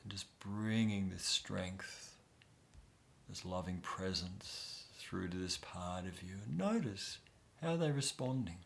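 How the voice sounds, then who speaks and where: A man guiding a soft, soothing inner-child meditation with long pauses. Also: sits low, gravelly. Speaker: meditation guide.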